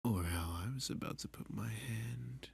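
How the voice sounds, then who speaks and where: A man playing a dominant, flirty, possessive villain kidnapper in an ASMR roleplay, teasing and seductive, with a breathy, husky voice that often drops to a whisper. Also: medium pitch, no echo. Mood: menacing, seductive. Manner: slow, teasing, seductive, with pet names. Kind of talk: ASMR roleplay addressed to the listener.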